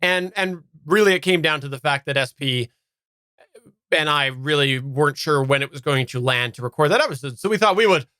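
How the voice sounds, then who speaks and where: A man's voice: on the high side, brisk, bright, forceful tone, clean tone, no echo. A man hosting a podcast live stream in a casual, conversational style. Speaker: podcast host.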